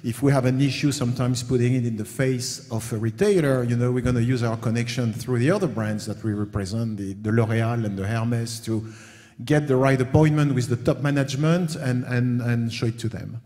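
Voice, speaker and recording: A man's voice: medium pitch, fairly even intonation, fairly quick, dark tone. A middle-aged man from France speaking on a conference panel, hesitant, with many fillers as he talks through his slides. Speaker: panel speaker, company founder. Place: conference hall. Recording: long reverb of a hall.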